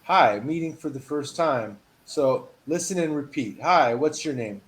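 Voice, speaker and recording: A man teaching a one-on-one English lesson over a video call, patient and encouraging, reading model phrases with lively emphasis. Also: a little high, unhurried. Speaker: English tutor.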